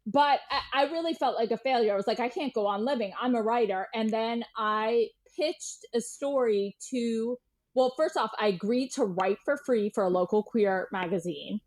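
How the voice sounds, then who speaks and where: A woman's voice: a little high, moderate pace, darkish tone, poor audio. A middle-aged woman speaking casually as an interview guest, chatty, candid and self-deprecating about herself. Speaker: guest journalist and writer.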